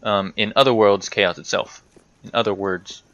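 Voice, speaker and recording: A man's voice: lowish voice, fairly even intonation, normal speed, a bit bright, dull audio. A man giving casual, rambling commentary over a video game playthrough, chatting to himself and to the game's characters. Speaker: gaming video host.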